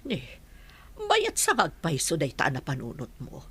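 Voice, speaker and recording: Anxious, questioning wife, woman actor: husky, lively intonation, audio drama. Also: low voice, a little slow, full character voice. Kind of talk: Ilocano audio drama acting.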